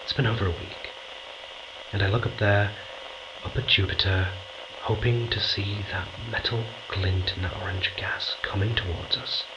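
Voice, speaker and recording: A man playing an anxious, brooding astronaut on a remote moon base in an audio drama, speaking haltingly with breathy urgency. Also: lowish voice, fairly even intonation, muffled recording, lightly acted. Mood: afraid, brooding. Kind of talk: audio drama acting, confiding recorded log. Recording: clear background noise.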